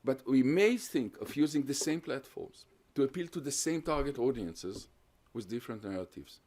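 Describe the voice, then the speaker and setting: Man giving a measured lecture. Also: mid-range voice, lively intonation, a little mellow. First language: Hungarian. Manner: measured, explanatory, builds lists of points. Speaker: guest lecturer on terrorism research. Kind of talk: academic lecture with audience questions.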